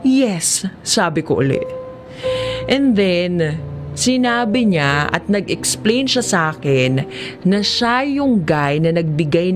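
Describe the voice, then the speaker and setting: A woman with a Filipino accent narrating a first-person drama story in a conversational way, acting out the reactions as she goes. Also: on the low side. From Philippines. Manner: conversational, acts out dialogue and inner reactions. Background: music behind the voice.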